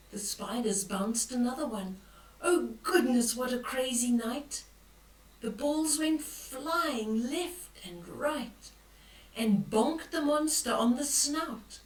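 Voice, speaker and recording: An older woman reading a picture book aloud to children, expressive and dramatic, with hushed whispers and exclamations as she comments on the pictures. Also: fairly high pitch, takes it slowly. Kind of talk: bedtime read-aloud with asides on the pictures. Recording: roomy echo, distant from the mic.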